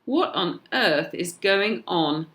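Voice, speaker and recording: A woman's voice: fairly low pitch, animated, slow pace, a bit bright. A woman with a British accent voicing an exasperated, protesting mother in a children's storybook. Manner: emphatic, exasperated.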